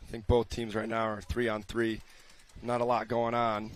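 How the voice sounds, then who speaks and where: A man with a raspy voice doing easygoing color commentary on a paintball match. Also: lowish voice, monotone, moderate pace. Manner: easygoing, laughs often.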